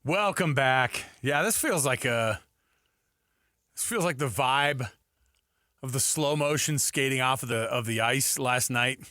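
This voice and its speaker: A man's voice: medium pitch, measured pace, bright, forceful tone, no room sound, soft background music. A man hosting a sports radio show in a casual, chatty style, close on a studio mic.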